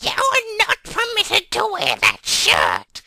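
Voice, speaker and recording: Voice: extremely high pitch, wildly swooping pitch, takes it slowly, vocal fry, sharp tone, no echo, clean studio sound, full character voice. A performer voicing a stern, prissy older woman professor who scolds, in an amateur comedic reading. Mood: indignant.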